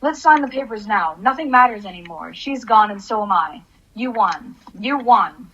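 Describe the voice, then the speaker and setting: A woman's voice: on the high side, lively intonation, normal speed, a bit bright, slightly rough, heavily acted. Woman acting a brooding mother, slightly muffled. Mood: bitter. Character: troubled, obsessive, grieving divorced mother. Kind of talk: radio play acting.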